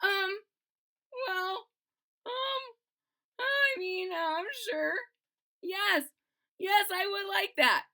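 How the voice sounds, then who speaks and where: A woman voicing a nervous little male bean with a fretful, quavering manner and shrill cries. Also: extremely slow, long pauses, bright, forceful tone, heavily acted. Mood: relieved.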